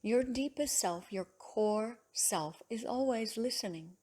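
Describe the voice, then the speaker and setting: A woman leading a guided relaxation meditation as a yoga teacher, speaking in a soothing, measured way with long pauses between phrases. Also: fairly high pitch, lively intonation, a little mellow.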